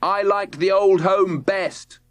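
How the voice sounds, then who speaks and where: A man's voice: sits high, fairly even intonation, slow pace, no room sound, voice pushed up. A man voicing a stubborn, sulky schoolboy in a children's audiobook, plaintive and matter-of-fact. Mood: sullen. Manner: plaintive, protesting.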